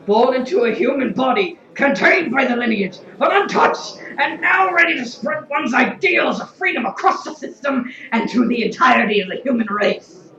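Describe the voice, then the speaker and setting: An actress playing a brash, scheming, informal general in a space audio drama, with a flippant manner. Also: female voice, sits high, lively intonation, normal speed, hardly any pauses, a bit bright, reverberant, highs cut off. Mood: proclaiming.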